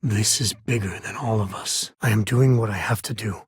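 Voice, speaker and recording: A man acting as a wry, warm-hearted space explorer and dad in a video game, with a raspy voice and a folksy, dry manner. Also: fairly low pitch, moderate pace, no room sound. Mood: earnest.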